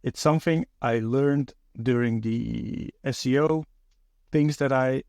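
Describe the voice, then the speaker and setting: A man's voice: mid-range voice, takes it slowly, creaky, darkish tone, dry recording, studio quality. A man from the Netherlands with a Dutch accent, hosting his own podcast in a thoughtful, hesitant way with many fillers and restarts. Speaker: podcast host. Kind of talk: solo podcast monologue.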